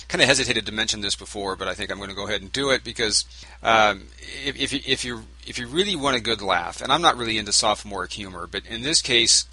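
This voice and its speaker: Bright-voiced male talk radio host. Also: on the low side, dry recording, rough recording. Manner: conversational, with frequent fillers and restarts. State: sore throat. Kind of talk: casual talk radio commentary.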